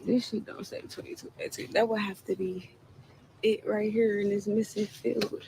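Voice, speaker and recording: A woman's voice: medium pitch, level tone, normal speed, creaky, noisy background. A woman in a played clip, talking casually to the camera in a defiant, preachy manner about faith and spirits.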